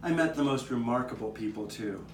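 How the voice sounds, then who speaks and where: Puppet show role: man as reflective old male street cat telling his past, measured, recorded from afar over clear noise. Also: mid-range voice, close to an everyday voice. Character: old male street cat, reminiscing storyteller with nine lives. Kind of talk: scripted puppet show narration. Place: outdoor courtyard. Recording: distant, echoey live taping with background noise.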